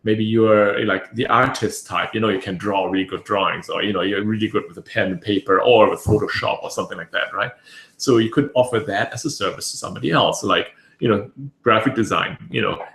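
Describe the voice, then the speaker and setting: A man with a raspy voice hosting a casual webinar, rambling with many fillers and restarts. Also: on the low side, brisk, a bit bright. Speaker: webinar host. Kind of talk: casual live webinar talk. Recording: echoey room.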